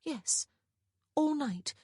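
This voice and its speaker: Woman playing a frank young gentlewoman, high and husky. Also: normal speed, no room sound, studio quality. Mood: earnest. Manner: flat, earnest, confessional. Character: frank, stubborn, smitten young gentlewoman of period romance.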